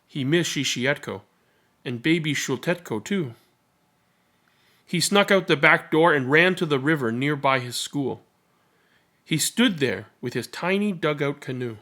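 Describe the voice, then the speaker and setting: A man's voice: on the high side, animated, a little slow, pauses often, a bit bright. Man reading aloud. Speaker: storybook reader and channel host. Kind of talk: children's read-aloud narration with casual commentary.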